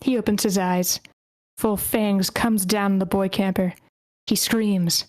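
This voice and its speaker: A woman narrates a screenplay at a table read, delivering stage directions in short phrases. Her pitch is mid-range and the sound is close and dry, with very little room. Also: steady tone, unhurried, a little mellow, dry recording.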